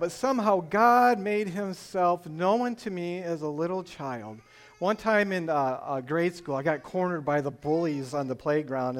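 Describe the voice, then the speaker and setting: Earnest older man with a Michigan accent. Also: a little high. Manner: earnest, storytelling, with frequent fillers. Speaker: church testimony speaker. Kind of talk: personal testimony.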